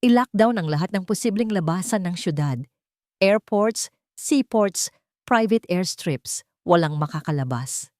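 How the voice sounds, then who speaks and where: A woman's voice: animated, measured pace, a little mellow, no echo. Billionaire father read by a woman, authoritative, controlled and urgent, audio-story style, dry sound. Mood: urgent, commanding. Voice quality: low, authoritative voice. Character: commanding billionaire father, calm but dangerous, in crisis.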